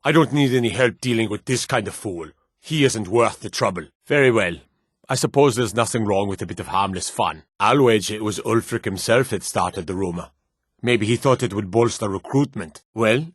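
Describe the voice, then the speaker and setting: A man playing a dignified, courtly lord with a formal, measured way of talking in a fantasy video game. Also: on the low side, lively intonation, husky, dry recording, clean studio sound.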